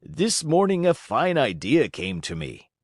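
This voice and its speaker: Man putting on a high-pitched, excitable little old man. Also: unhurried, dry recording, studio quality, exaggerated voice. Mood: eager, chipper. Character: dapper, hot-tempered old man, proud and excitable.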